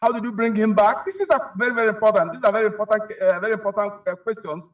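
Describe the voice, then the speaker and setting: High-voiced Nigerian man, halting, muffled link. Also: far from the mic. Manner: halting, repetitive, searching for words. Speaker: human rights advocate, interview guest. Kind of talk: spoken opinion in a news interview.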